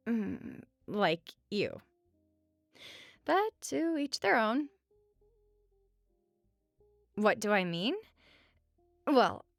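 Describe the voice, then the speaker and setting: A woman acts out a wry, caring female roommate in a comfort audio roleplay. Her voice has a strong gravelly fry and sits close and dry, with faint music underneath. She talks casually, teasing and pausing as if feeling her way. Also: mid-range voice, fairly bright tone, dry recording, exaggerated voice. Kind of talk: conversational comfort audio roleplay at regular speaking volume.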